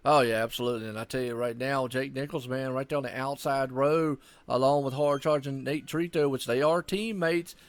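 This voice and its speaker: A man's voice: medium pitch, steady tone, brisk, few pauses, no room sound. A man co-commentating a sim race in a casual, folksy, rambling way. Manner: folksy, rambling, says "man" and "y'all" often. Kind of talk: casual, chatty live sports commentary.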